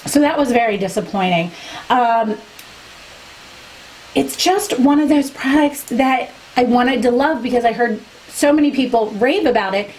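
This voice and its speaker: A woman's voice: a little high, a little slow, darkish tone, poor audio. A woman reviewing a makeup product to camera for her vlog in a chatty, candid way, losing her voice. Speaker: beauty vlogger.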